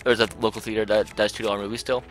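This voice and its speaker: A man's voice: fairly low pitch, level tone, rapid-fire, over music. An American man chatting casually as he hosts a gaming livestream, rambling and playfully argumentative. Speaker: livestream host playing a video game.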